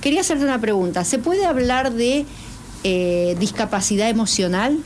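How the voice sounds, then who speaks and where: A woman's voice: on the high side, expressive, normal speed, darkish tone, slight background noise. A woman co-hosting a radio talk show, chatty and asking the guest a string of questions. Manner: chatty, asks many questions. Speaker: radio co-host. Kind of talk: radio studio conversation. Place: radio studio.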